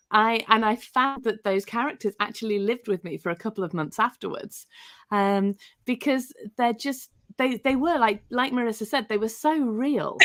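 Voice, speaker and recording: A woman's voice: on the high side, a bit bright. A woman co-hosting an online discussion, talking casually and earnestly, effusive and repeating phrases for emphasis. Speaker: co-host. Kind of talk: casual, appreciative book discussion. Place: live online video call.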